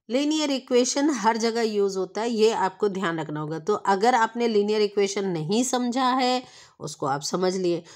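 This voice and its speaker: A woman teaches a maths lesson, patient and methodical, checking in with the listener often. Her voice sits fairly high for a woman. She slows right down when she reads out the problem text. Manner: patient, explaining with checks like "theek hai". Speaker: math tutor.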